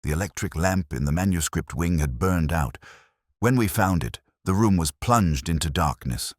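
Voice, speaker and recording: A man reading a scripted detective story as narrator, in a measured, literary storytelling manner. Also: deep voice, expressive, no echo, clean studio sound.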